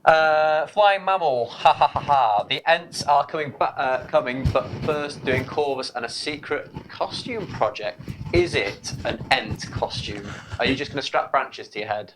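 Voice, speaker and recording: A man's voice: medium pitch, unhurried, noisy background. A man co-hosting a casual gaming live stream, easygoing and chatty as he reads viewer comments aloud. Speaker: co-host of a wargaming stream.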